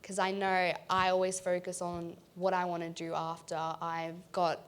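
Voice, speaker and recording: A woman's voice: lowish voice, monotone, moderate pace. A young Australian woman, a student on a discussion panel, speaking earnestly and thoughtfully into a handheld mic in a large auditorium. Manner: earnest, thoughtful, with many ums.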